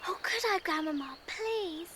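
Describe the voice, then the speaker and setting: A young girl acting an eager, warm-hearted child of the Alps in a film drama. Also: very high-pitched, lively intonation, a little slow, background noise. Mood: eager, pleading. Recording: film soundtrack with music behind.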